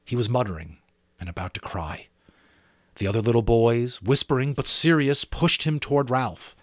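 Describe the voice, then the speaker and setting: A man's voice: medium pitch, normal speed, dry recording, clean studio sound. A man narrating a novel expressively as an amateur reader, with dramatic storytelling and occasional casual asides.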